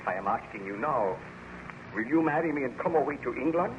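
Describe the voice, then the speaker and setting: A man's voice: mid-range voice, animated, fairly quick, close to an everyday voice. Male actor, haunted aristocratic scientist for vintage radio drama; fervent, formal, widely swinging delivery on muffled, noisy recording. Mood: ardent, tender. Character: anguished baron scientist, married man haunted by his monstrous creation. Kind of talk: 1930s radio drama acting.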